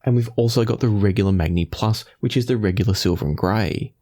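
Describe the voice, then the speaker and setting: A man's voice: fairly low pitch, fast, dark tone. A man hosting a product review, explaining things thoroughly in a casual, conversational way.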